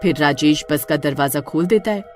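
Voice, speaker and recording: A woman's voice: sits low, moderate pace, faint music behind. A woman narrating a horror-comedy cartoon story in a dramatic, suspenseful way.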